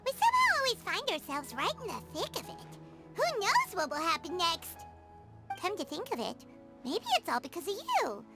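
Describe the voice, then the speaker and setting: A tiny, excitable fairy companion from a video game, speaks in an extremely high, childlike voice. The delivery is chirpy, theatrical and hugely animated in pitch. Loud music plays behind it. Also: female voice, moderate pace, heavily acted. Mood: musing. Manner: chirpy, dramatic, refers to self in third person.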